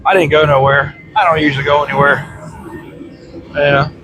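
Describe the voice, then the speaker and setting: A man's voice: fairly high pitch, normal speed, poor audio. A congested man with a chesty voice, chatting casually and rambling in a folksy, colloquial way as he hosts a vlog. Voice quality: congested, chesty with frequent throat clearing. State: congested from bronchitis. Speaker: vlog host. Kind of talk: casual rambling chat to camera. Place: workshop. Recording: steady background noise from running heater. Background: heater noise.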